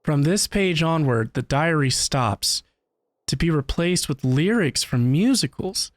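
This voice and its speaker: A man streaming a game, reading its text aloud in a deliberate, expressive, dramatic way. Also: fairly high pitch, no echo.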